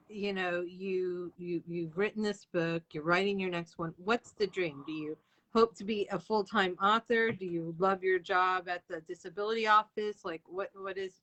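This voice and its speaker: A woman's voice: medium pitch, pushed and bright, rough recording. A woman in her forties hosting a casual interview, with an informal, reactive way of talking. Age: 40s.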